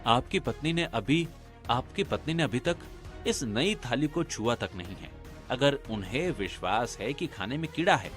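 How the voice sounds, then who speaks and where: A man voicing an earnest, grave village man in a cartoon, formal and expressive. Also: fairly high pitch.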